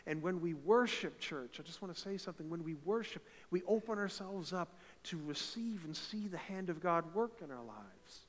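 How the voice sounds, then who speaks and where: A fervent male pastor from eastern Canada preaches with a big, slightly high voice, and his words ring out through a reverberant church hall. He swells from conversational talk to loud, emphatic peaks. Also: animated, normal speed, few pauses. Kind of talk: sermon. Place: church sanctuary.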